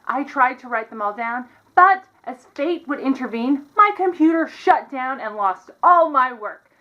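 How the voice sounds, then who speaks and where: A woman's voice: high voice, expressive, a little slow, sharp tone, lo-fi sound. A woman hosting a web show, giving sardonic commentary to her audience in a theatrical, tongue-in-cheek way. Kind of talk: sardonic video commentary to camera.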